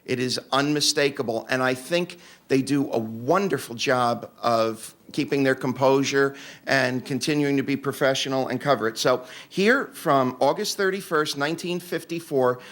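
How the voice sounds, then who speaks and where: A man presenting to a convention audience in a relaxed, joking manner with fillers. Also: mid-range voice, moderate pace. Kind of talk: casual talk to an audience. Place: convention room.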